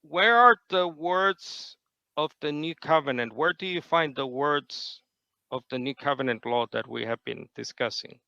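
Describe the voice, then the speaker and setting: A man in a debate, cross-examining his opponent with measured, probing questions. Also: on the high side, vocal fry, bright, forceful tone, clear, resonant tone, dry recording, muffled recording.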